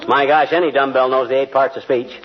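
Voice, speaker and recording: An actor playing a blustery, cocky middle-aged husband with folksy, mock-pompous talk in a 1940s radio comedy. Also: male voice, mid-range voice, fairly even intonation, fast talker, lightly acted. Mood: cocky, eager. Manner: folksy slang mixed with mock-pompous wordiness. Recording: muffled vintage radio recording, noisy, studio audience.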